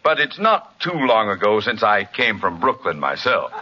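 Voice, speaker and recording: An actor playing a genial, genteel society doctor with an amused, hesitant manner in a 1940s radio comedy. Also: male voice, fairly low pitch, big pitch swings, normal speed. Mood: delighted, relieved. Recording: muffled, slightly noisy old radio recording.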